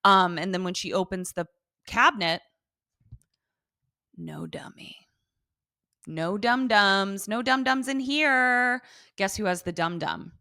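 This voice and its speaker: A woman co-hosting a podcast, casually recapping a TV episode in slangy, sweary, animated storytelling. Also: medium pitch, slow pace, long pauses, no room sound, studio quality. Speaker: podcast co-host.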